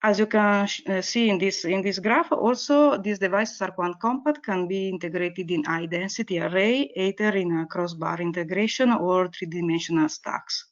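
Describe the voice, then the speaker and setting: A woman researcher who is not a native English speaker, presenting a technical webinar lecture with slides in a halting, hesitant way. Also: medium pitch. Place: online webinar. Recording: dull, muffled webinar audio.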